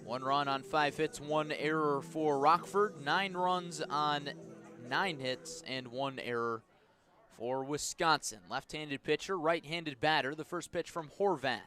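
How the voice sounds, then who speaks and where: A man's voice: on the high side, measured pace, sharp tone. A man doing live baseball play-by-play for radio, describing the action in clipped, descriptive phrases. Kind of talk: live radio sports commentary.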